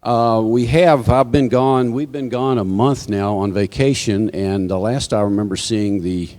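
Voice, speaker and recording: A man's voice: fairly low pitch, unhurried, a little mellow. A man giving informal church announcements to a congregation, with folksy joking asides. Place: church sanctuary, live service. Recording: hall reverb from sound system.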